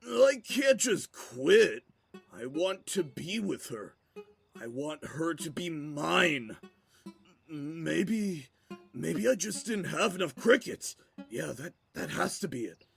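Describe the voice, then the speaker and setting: Man playing a high-pitched, whiny lovesick villager. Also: sing-song, vocal fry, faint music behind, full character voice. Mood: desperate longing. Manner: whiny, pleading, drawn-out delivery. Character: stout, pleading, obsessive lovesick male villager. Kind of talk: fantasy audio drama acting.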